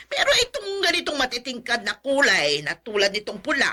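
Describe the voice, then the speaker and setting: Animated, sharp-voiced scolding aunt. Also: female voice, a little high, fairly quick, heavily acted. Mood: exasperated scolding. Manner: exasperated, exclaiming, nagging. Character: scolding older aunt, fussy and quick to complain. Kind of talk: melodramatic radio drama acting.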